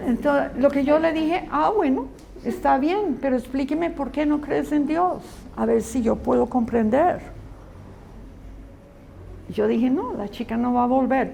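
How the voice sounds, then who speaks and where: A woman's voice: sits high, creaky, a little mellow. A woman Bible teacher giving a conversational classroom lecture to students. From Colombia. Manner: earnest, conversational teaching with asides.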